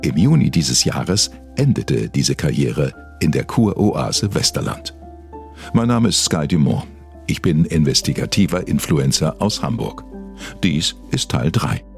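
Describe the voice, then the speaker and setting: A man's voice: a bass voice, very animated, unhurried, vocal fry, a little mellow. A man presenting an investigative documentary, reading scripted narration. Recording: music underneath. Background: background music.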